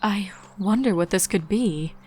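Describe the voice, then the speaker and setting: A woman voicing a kindly, composed regal pony princess with a gentle, measured manner in a storybook reading. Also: mid-range voice, slight background noise, close to an everyday voice. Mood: pleased.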